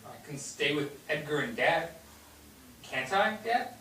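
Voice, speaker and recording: A man's voice: a little high, big pitch swings, unhurried, sharp tone, exaggerated voice. A man on stage playing a hurt, defiant eighteen-year-old son in a family drama. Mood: anxious. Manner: respectful, then bitter and protesting. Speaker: stage actor. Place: indoor theatre stage, recorded from afar. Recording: roomy theatre, distant mic, hissy background.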